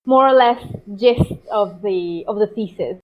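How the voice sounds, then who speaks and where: A woman with an Italian accent, a translator being interviewed, talking in halting bursts with many restarts. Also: medium pitch. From Italy. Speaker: guest translator. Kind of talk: interview over a call. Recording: dull lo-fi call audio.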